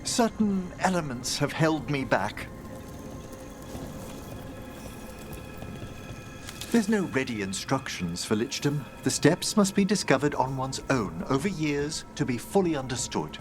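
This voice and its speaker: An actor playing a gentle, wistful, scholarly older necromancer in a fantasy video game, with formal, measured, eloquent phrasing. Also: male voice, medium pitch, very animated, close to an everyday voice. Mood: composed, learned. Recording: game music playing behind.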